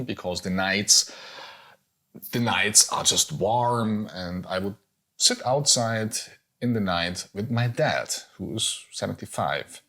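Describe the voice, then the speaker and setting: Husky German man, halting and thoughtful. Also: on the low side, bright, forceful tone. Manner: hesitant, many fillers and restarts. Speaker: podcast co-host. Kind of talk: casual conversation.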